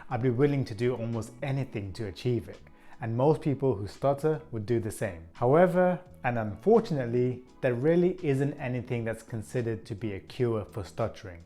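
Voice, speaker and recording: A man's voice: medium pitch, faint music behind. Earnest man in his thirties who stutters. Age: 30s. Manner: earnest, even and measured, now and then slowed by a stutter. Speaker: channel host. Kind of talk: scripted vlog talk to camera.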